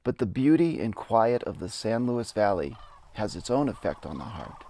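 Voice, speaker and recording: A man's voice: fairly low pitch, sing-song, moderate pace, gravelly, warm tone, no room sound. A man giving a reflective, earnest dramatic reading of his own memoir. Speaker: memoirist narrator. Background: music.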